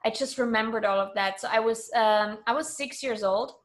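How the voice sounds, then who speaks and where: Higher-voiced woman from Germany, chatting. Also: normal speed. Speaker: interview guest. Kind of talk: casual conversational interview.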